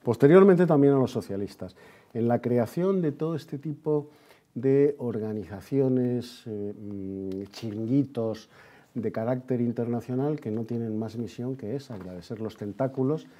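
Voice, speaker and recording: A man's voice: mid-range voice, unhurried, warm tone. A man with an accent from Spain hosts an opinionated history discussion, speaking in an emphatic, sardonic manner and repeating phrases. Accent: Spanish from Spain. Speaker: host and interviewer.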